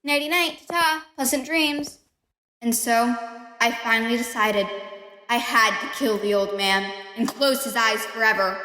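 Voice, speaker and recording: Echoey, high-voiced unhinged killer acted by a woman. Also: unhurried, pushed and bright. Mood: falsely sweet, scheming. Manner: intense, dramatic, emphatic. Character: unhinged killer insisting on their own sanity. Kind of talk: gothic horror audio play monologue. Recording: echoey room with long reverb.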